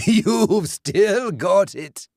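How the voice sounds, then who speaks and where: A man's voice: high-pitched, animated, drawn-out words, creaky, a little mellow, no echo, studio quality, pitched above the performer's own voice, heavily acted. A man voicing the cruel ghost of an old-time policeman who taunts and beats his victim. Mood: gloating. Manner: taunting, relishing violence.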